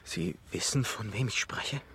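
An actor playing a desperate, pleading young man in love in a radio drama, with a husky voice. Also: lowish voice, measured pace, a bit bright, exaggerated voice. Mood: stunned, despairing.

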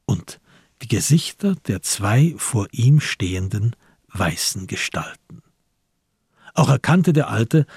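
A man reading scripted literary narration as the narrator of a radio play, with a husky voice. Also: mid-range voice, a little mellow, no room sound, studio quality.

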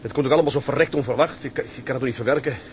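An actor playing an earnest, forthright young ship's mate in a radio play. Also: male voice, mid-range voice, rapid-fire, a little hiss or hum, natural voice. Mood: indignant.

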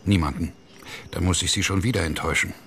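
A man's voice: deep voice, quick pace, a bit bright, a little hiss or hum. An actor playing a curt, evasive businessman in a radio crime drama, formal and dismissive. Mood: coolly dismissive.

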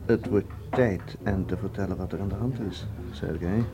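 An actor playing an earnest, dutiful agent in a radio drama, with a subdued manner. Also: male voice, deep voice, moderate pace, music in the background. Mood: earnest, defensive.

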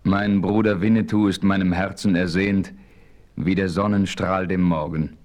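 An actor playing a solemn frontier hero who narrates his adventure in a measured, formal audio drama style. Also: sits low, darkish tone, close to an everyday voice. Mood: resolute.

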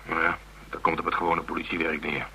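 An actor playing a gruff, sardonic, dogged police inspector in a radio drama, speaking bluntly and wryly. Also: male voice, rumbling low, level tone, fast, bright, forceful tone, a little hiss or hum, exaggerated voice.

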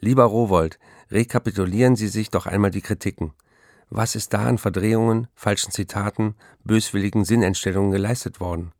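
A driven male novelist in a radio play, low-voiced and recorded very dry. He speaks with precise, emphatic defiance, as if every sentence were law , played by an actor. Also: darkish tone, no room sound, lightly acted.

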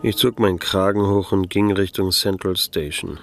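Deep and dry, this is a disillusioned private detective of noir radio drama. He is laconic and wry, with faint music underneath. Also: steady tone, moderate pace. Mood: wry, reflective. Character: disillusioned, world-weary male private detective, wry narrator-hero. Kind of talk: noir radio drama, first-person narration.

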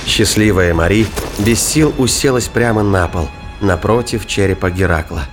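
Guy narrating a sci-fi thriller audio drama, lowish voice, music loud underneath. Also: normal speed. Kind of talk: scripted dramatic fiction narration.

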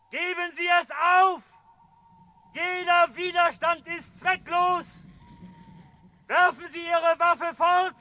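An actor playing a police officer who shouts orders at a surrounded gangster in an old radio drama. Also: male voice, very high-pitched, dry recording, exaggerated voice. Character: male police officer calling out a siege. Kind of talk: 1960s radio drama acting.

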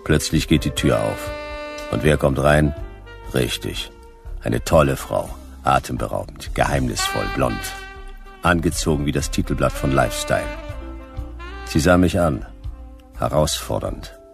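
A world-weary noir private eye, super low croaky voice, dry and sardonic, radio drama w music behind. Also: male voice, lively intonation, a little slow, long pauses, heavily acted. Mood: dry, wry. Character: weary, wisecracking male hard-boiled private detective. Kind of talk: noir radio play narration and dialogue.

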